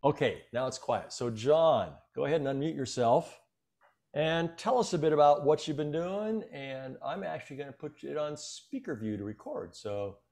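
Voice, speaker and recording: A man's voice: on the high side, expressive, moderate pace, gravelly, darkish tone. An older man hosting a video call. He talks in a directing, organizing way as he calls on each speaker in turn. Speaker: meeting host.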